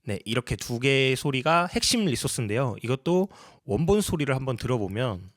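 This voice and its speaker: A man's voice: medium pitch, big pitch swings, brisk, no echo, studio quality. A man narrating a casual tutorial as a sound designer, explaining each step politely.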